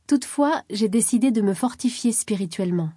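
A woman calmly reading a first-person life story aloud as scripted narration. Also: medium pitch, a little fast, breathy edge, dry recording, clean studio sound. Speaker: reader of a first-person article.

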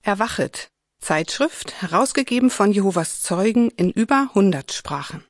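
Woman, reader, scripted, clear, steady, mid pitch. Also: moderate pace, no echo, studio quality. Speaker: reader of reports and listings. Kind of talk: scripted reading.

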